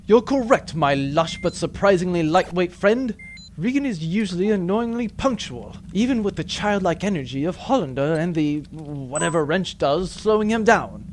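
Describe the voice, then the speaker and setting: A man's voice: high voice, animated, moderate pace, slight background noise. A man playing a dreamy, pompous space captain in a comic sci-fi audio drama, grandiose and wry.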